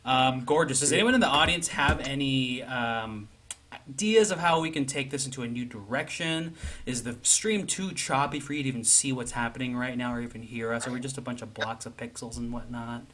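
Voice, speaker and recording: A man's voice: mid-range voice, brisk, fairly bright tone, natural voice. A man playing an enthusiastic, pretentious commercial audition director, effusive and rambling in improvised comedy banter. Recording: background noise and clicks.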